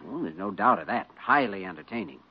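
An actor playing a patient, good-natured young doctor with a reasonable, soothing manner in a 1940s radio drama. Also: male voice, on the low side, expressive, a little fast, gravelly, slightly rough, exaggerated voice. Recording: noisy, dull old radio recording.

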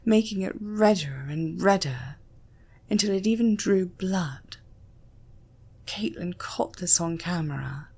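A British woman narrating an erotic story in a breathy voice that often drops toward a whisper. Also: on the low side. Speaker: audiobook narrator.

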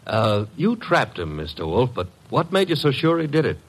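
A man's voice: mid-range voice, big pitch swings, moderate pace. A man playing a breezy, sardonic, wisecracking assistant to a detective in an old radio drama. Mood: breezy, amused. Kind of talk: 1950s radio drama acting.

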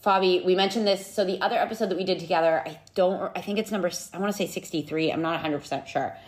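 A woman's voice: lowish voice, fast. A woman in her thirties, a podcast host and nutrition coach, chatting casually and upbeat with her best friend. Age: 30s.

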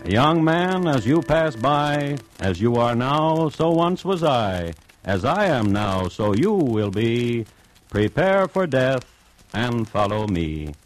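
An actor playing a droll, urbane crime-story host, narrating an old-time radio drama in a dry, wry manner. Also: male voice, mid-range voice, big pitch swings, slow, soft background music. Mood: solemn recitation.

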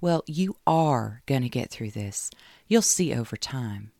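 Reader putting on a brassy southern best friend, drawling, gravelly, cheerleader energy, dry booth sound. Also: medium pitch, very animated, moderate pace, no room sound, pitched above the performer's own voice. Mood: reassuring. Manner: loud, emphatic cheerleading.

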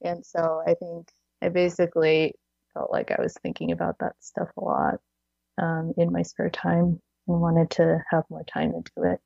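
A woman's voice: on the low side, completely flat delivery, moderate pace, creaky, warm tone, dry recording, muffled recording. A woman in her thirties chatting casually as an interview guest, filling her talk with "like" and frequent little laughs. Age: 30s. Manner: chatty, many "like"s, laughs between phrases. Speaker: podcast guest.

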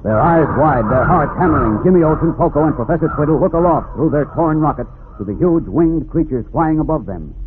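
A man as a suspenseful, grave narrator of a 1940s radio adventure serial, dramatic and measured. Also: a little high, slightly rough, full character voice. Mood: tense, grave. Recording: narrow-band vintage radio, faint noise.

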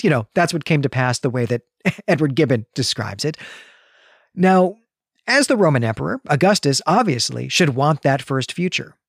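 A man co-hosting a literary podcast, explaining and analysing in a measured, articulate way. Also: mid-range voice, no room sound, studio quality. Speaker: podcast host.

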